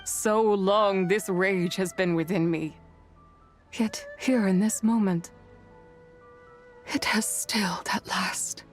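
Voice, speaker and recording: A woman's voice: mid-range voice, fairly even intonation, slow, long pauses, faint music behind, lightly acted. A woman playing a solemn, once-wrathful immortal spirit in a game, speaking formally and with weary measure. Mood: relieved, at peace. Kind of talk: scripted game voice acting.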